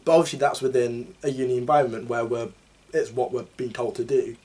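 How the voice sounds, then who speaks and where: A young male drama student asking questions in a casual chat, a little halting. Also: mid-range voice, level tone, a little mellow, husky. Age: young adult.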